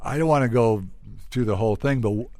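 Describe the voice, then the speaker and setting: American male host for a casual comedy interview: chatty, joking, average pitch, dry sound. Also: fast talker, warm tone, dry recording.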